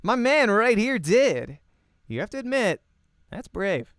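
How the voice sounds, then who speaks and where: A man's voice: sits high, big pitch swings, takes it slowly, fairly bright tone, no room sound, studio quality, voice pushed up, full character voice. Man acting an easygoing, confident young star gamer. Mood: upbeat, defending. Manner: easy, friendly, teasing.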